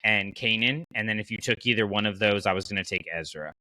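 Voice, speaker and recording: A man's voice: on the low side, fast, bright, forceful tone, dry recording, poor audio. A man hosting a podcast in a casual, enthusiastic and conversational way. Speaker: podcast host.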